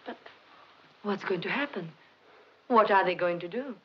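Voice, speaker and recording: An actress playing a devoted, earnest female scientist in a 1950s film drama, speaking emphatically with dramatic pauses. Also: medium pitch, husky, natural voice. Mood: distressed. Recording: muffled 1950s film soundtrack, faint music.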